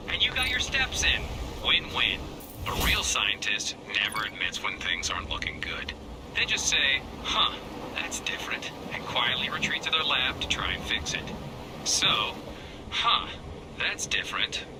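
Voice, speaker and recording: Vain, wildly animated video game scientist. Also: male voice, medium pitch, noisy background. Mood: dry, mildly concerned. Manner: wry, theatrical, self-satisfied joking delivery. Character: vain, flippant male scientist and resistance leader, playful and sarcastic. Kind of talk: scripted video game character dialogue.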